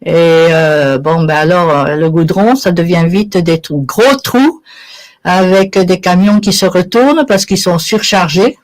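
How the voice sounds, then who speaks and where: A woman's voice: lowish voice, a little slow. A woman of Italian origin giving conversational interview answers as a guest, explaining things with everyday examples. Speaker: interview guest, charity sponsorship volunteer.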